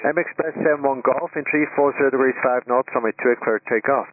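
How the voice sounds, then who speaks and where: A man working as an air traffic controller, giving brisk, procedural clipped instructions over a radio. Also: mid-range voice, fairly even intonation, dry recording. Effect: radio transmission. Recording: tinny narrowband aviation radio.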